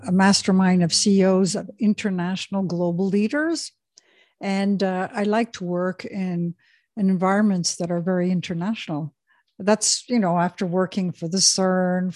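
A woman's voice: on the low side, darkish tone, no echo, clean studio sound. A middle-aged woman from Switzerland, an executive coach, talking casually as a podcast guest with frequent fillers and restarts. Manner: hesitant, many fillers and restarts. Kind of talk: casual interview talk.

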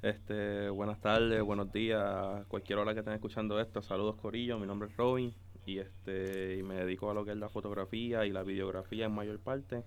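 A young man in his twenties with a clean, smooth voice that sits a little low. He speaks Caribbean Spanish and tells personal stories in a casual, conversational way as a podcast guest. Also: flat delivery, brisk, hardly any pauses. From Dominican Republic and Puerto Rico. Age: 20s. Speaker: podcast guest, photographer and videographer.

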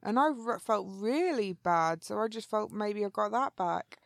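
Brief: female co-host, mid pitch, rambling and chatty, congested, dry mic. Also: lively intonation, unhurried, gravelly, dry recording. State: phlegmy, congested. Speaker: podcast co-host. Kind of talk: casual podcast chat.

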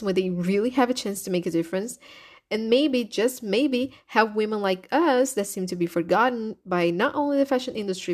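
A young woman with a Brazilian Portuguese accent talks straight into her podcast mic. She speaks English as a second language and keeps it animated, asking her listeners questions as she goes. Her voice sits in an ordinary middle range for a woman. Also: medium pitch. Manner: animated, frequent laughs, rhetorical questions. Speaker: podcast host. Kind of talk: solo opinion podcast.

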